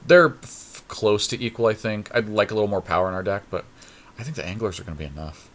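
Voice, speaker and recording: A man with a fairly deep voice gives casual running commentary on a card game. He thinks aloud as he goes, speaking decisively and informally, like a co-host chatting with a partner. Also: fast talker, a bit bright, slight background noise. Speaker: podcast co-host playing a card game draft. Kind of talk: casual commentary while drafting cards.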